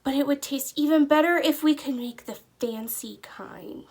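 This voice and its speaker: A woman voicing a sly, friendly female storybook traveler in a coaxing, sing-song, expressive way. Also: high voice, moderate pace, voice pushed up. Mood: coaxing, bright. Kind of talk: storybook character voice in a read-aloud.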